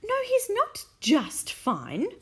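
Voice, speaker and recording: A woman putting on a high, gushing, doting lady. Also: very animated, slow, exaggerated voice. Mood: doting, pleading. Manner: high, fluttery and pleading. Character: sweet, gushing kindly woman doting on her pet tortoise.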